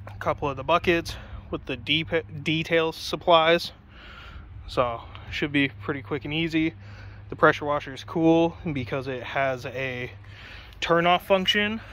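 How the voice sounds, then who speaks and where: A young man casually reviewing a pressure washer and foam cannon outdoors beside a car, chatty and swearing now and then, recorded on a handheld phone. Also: fairly high pitch. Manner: casual, chatty, curses when excited. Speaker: car detailing vlogger.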